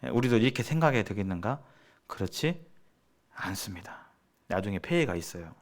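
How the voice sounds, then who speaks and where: A man's voice: on the low side, big pitch swings, brisk. A man giving a sermon-like seminar lecture as a preacher, speaking emphatically and repeating phrases for stress. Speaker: preacher leading a church seminar.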